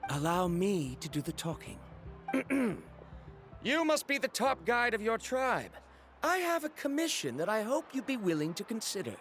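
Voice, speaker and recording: An earnest, proud scholar from a video game. The voice is fairly high and animated, formal and emphatic, over faint music. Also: male voice, normal speed. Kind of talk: video game character acting.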